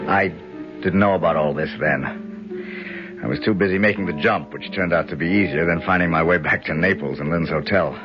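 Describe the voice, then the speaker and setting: Tough, wry first-person hero-narrator, male actor: low register, radio drama, music underneath. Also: moderate pace, natural voice. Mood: wry, confiding. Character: tough, wry American adventurer hero recounting his exploit. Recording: dull radio recording over music.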